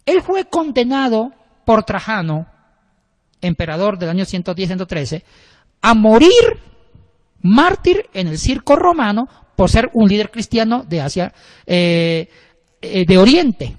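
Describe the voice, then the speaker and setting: A man's voice: high voice, sing-song, a little slow. A man explaining church history in a casual discussion, often restarting his sentences. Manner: explanatory, with frequent restarts and hesitations. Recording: long reverb.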